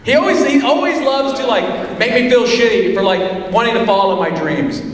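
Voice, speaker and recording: Animated American male comic in an echoey hall. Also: very high-pitched, normal speed, over a noisy crowd. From United States, Chicago. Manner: animated, self-deprecating. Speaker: stand-up comedian performing on stage. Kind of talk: conversational stand-up comedy. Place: theater stage. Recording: echoey hall, distant mic, audience noise.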